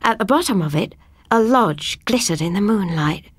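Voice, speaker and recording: Husky woman reading a ghost story. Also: mid-range voice, animated, moderate pace, no room sound, clean studio sound. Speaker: audiobook narrator. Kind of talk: scripted first-person ghost story narration.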